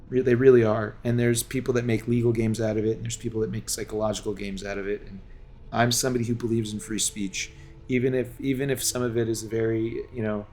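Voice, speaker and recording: A man's voice: medium pitch, a little mellow, slight background noise. A game streamer from Los Angeles talks to his viewers in a long, earnest, rambling monologue full of restarts and fillers, speaking from home.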